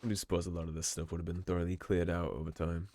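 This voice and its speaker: A man giving relaxed, musing commentary over a game playthrough, his voice slightly hoarse. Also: sits low, racing. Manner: relaxed, musing, reads in-game notes aloud. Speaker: game streamer. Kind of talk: let's play commentary.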